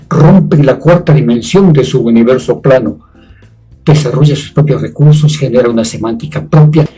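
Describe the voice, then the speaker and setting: A man's voice: medium pitch, warm tone, slightly rough, low-quality recording. A man, a scriptwriter, giving a prepared testimonial in formal, elaborate wording. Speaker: communicator and scriptwriter.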